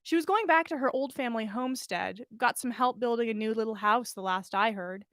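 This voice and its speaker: A woman voicing a brisk, amused young female friend in a story, teasing and informal. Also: fairly high pitch, fairly bright tone, dry recording, clean studio sound. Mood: amused, curious.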